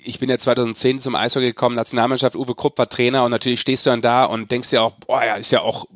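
A man's voice: medium pitch, little pitch movement, quick pace, fairly bright tone, no room sound, clean studio sound. A man chatting casually about sport as a podcast co-host, in a colloquial, anecdotal way.

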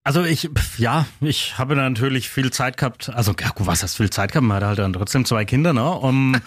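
A man's voice: medium pitch, a little fast, no echo, studio quality. A man with a Franconian accent co-hosting a morning radio show, explaining at length and correcting himself as he goes. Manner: rambling, self-correcting explainer. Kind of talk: casual radio banter.

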